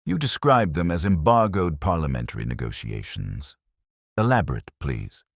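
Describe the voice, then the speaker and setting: Storybook detective, played by a male narrator: incisive, cool, formal, very low voice, lively inflection. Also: creaky, breathy edge, no echo, studio quality, heavily acted. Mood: cool, probing. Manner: formal, deliberate, exacting questioning. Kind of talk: audiobook character reading.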